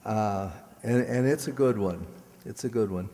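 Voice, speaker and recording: A man's voice: lowish voice, unhurried, mellow. A man making a live announcement to an audience at a stage microphone, as a director presenting upcoming shows. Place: large indoor township auditorium, on stage. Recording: echoey auditorium with long reverb.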